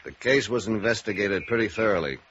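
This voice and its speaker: An actor playing a skeptical, practical American police sergeant in 1950s radio noir, blunt and terse. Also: male voice, on the low side, animated, brisk, fairly bright tone. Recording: dull, faintly noisy vintage radio recording.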